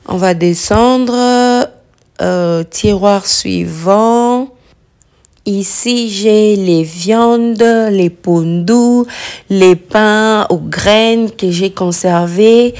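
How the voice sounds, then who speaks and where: A woman in her thirties, a home vlogger, chatting slowly through a kitchen tour and cooking steps, often pausing with "euh" and using English words for food. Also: medium pitch, a little mellow.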